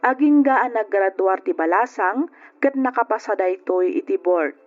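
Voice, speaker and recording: A woman's voice: medium pitch, lively intonation, unhurried. A woman with a Filipino Ilocano accent giving scripted narration as the host of a radio drama. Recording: dull lo-fi radio with background music. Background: faint music.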